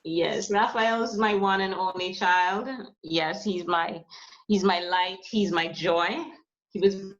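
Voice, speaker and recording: Soft-spoken woman from Jamaica, lo-fi. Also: on the low side, steady tone, slow. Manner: soft-spoken, hesitant, many fillers. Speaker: musician, guest. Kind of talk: casual interview talk. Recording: lo-fi muffled audio.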